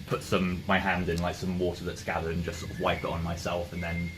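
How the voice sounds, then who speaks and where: A man's voice: deep voice, completely flat delivery, brisk. A man talking casually as a player in a tabletop roleplaying game. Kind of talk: casual chat. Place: in-person game session in a room. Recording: clear background room noise.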